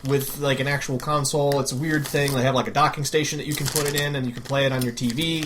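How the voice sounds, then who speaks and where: A man's voice: mid-range voice, little pitch movement, quick pace. Man chatting casually, noisy recording. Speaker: podcast host. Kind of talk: casual chat. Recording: clear background noise, clicks.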